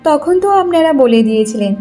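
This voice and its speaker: A young cartoon bride played by a woman with a very high voice, meek and plaintive. She speaks submissively and pleadingly, with music underneath. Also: lively intonation, fast talker, mellow. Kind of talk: cartoon moral story acting.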